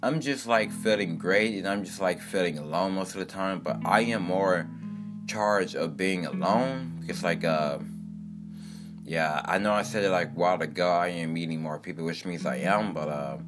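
A young man with a very low, deep voice rambles through an upbeat, earnest self-help monologue, full of "like" and "um". Plucked guitar music plays clearly underneath him the whole time. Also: moderate pace.

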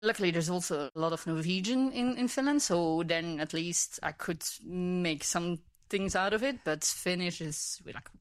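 A woman in her thirties from Belgium, a native Dutch speaker with a Belgian Dutch accent, chatting as a guest, friendly and laughing often, with halting restarts. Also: on the low side. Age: 30s. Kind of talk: casual interview chat.

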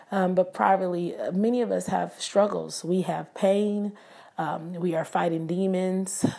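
A woman with a raspy voice hosting a casual devotional podcast, talking earnestly and prayerfully to her listeners. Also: fairly low pitch, mellow. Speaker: podcast host. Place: living abroad, indoors.

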